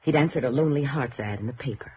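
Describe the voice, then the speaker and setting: An actress playing a suspicious, grieving daughter in a 1950s radio drama, halting and emotionally insistent. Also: female voice, very deep voice. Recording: dull, noisy old radio recording.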